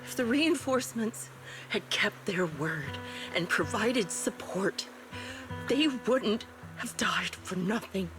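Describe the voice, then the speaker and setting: An actress playing a haunted, vengeful ex-soldier woman in a video game, measured and brooding, coldly resigned as she speaks. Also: mid-range voice, animated, music in the background. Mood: grave, grieving. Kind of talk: scripted video game story acting.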